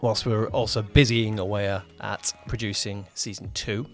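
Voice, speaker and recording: A man's voice: lowish voice, fairly even intonation, normal speed, faint music behind. A man co-hosting a casual behind-the-scenes podcast as a producer, rambling and often rewording himself mid-sentence. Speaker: podcast co-host, audio drama producer-director.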